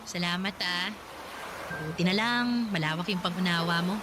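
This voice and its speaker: A woman's voice: on the low side, normal speed, lightly acted. An actress playing a broke young female college student who complains about work and money, in a radio drama with music underneath. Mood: grumbling. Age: young adult. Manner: chatty, grumbling about her hardships. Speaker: working student character.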